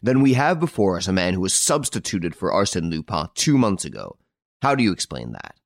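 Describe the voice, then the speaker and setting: A man's voice: deep voice, sing-song, brisk, no echo, studio quality, exaggerated voice. A man voicing an impatient male judge who questions witnesses in a storybook courtroom, exclaiming and demanding. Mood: puzzled.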